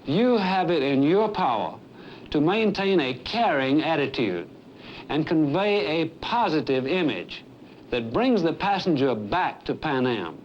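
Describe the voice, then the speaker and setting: An American man in his fifties giving a formal, scripted address as an airline executive, emphatic, earnest and deliberate. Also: fairly high pitch, very animated. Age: 50s. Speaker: airline executive presenting a staff training film. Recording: dull, noisy 1970s film soundtrack.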